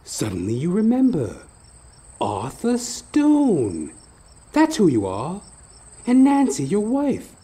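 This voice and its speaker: A man narrating a horror audio play dramatically, intense and theatrical, pausing between short phrases. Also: high voice, wildly swooping pitch, dark tone.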